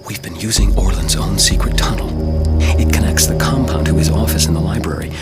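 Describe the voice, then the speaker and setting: A cautious secret-order scholar played by a man confides in a low, hushed voice. The delivery is urgent, set against loud game music. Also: fairly even intonation, a little fast, natural voice. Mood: wary, urgent. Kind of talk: video game cutscene dialogue.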